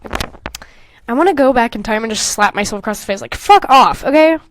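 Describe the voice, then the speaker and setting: A young woman co-hosting a casual podcast, animated and sweary, with self-mocking asides. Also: on the high side, fast, slight background noise. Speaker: podcast co-host. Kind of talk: casual podcast chat, reading old fan fiction aloud with mocking commentary.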